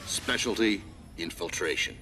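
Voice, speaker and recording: A man playing an authoritative military commander who gives mission briefings in a firm, crisp, commanding manner. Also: sits low, expressive, unhurried, bright, forceful tone, a little hiss or hum, heavily acted. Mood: stern, informative. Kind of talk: scripted game mission briefing.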